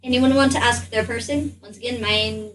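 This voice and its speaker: Higher-voiced woman, slightly distant. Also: fairly even intonation, moderate pace, crowd noise. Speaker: tabletop game player. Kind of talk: casual game chat.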